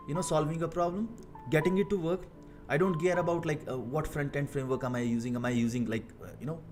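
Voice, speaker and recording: A man in his thirties from India with an Indian accent, talking casually on a coding live stream, halting with many fillers and repeated words. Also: fairly high pitch, darkish tone. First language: Hindi. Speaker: live-streaming software engineer.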